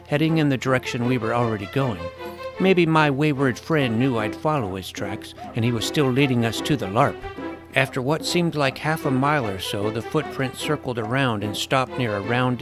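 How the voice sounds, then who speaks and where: A man's voice: medium pitch, lightly acted. A man playing an eager boy adventurer who tells his fantasy story in a florid, wry way, with acted dialogue. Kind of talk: scripted first-person story narration with acted dialogue. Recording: music under the voice. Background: music.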